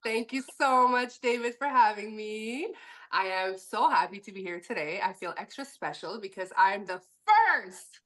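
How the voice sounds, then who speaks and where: A French Canadian woman, a native French speaker, chatting in a lively way as a podcast guest. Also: mid-range voice, normal speed. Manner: lively, chatty, laughs easily. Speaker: podcast guest, permanent makeup educator. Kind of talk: podcast interview.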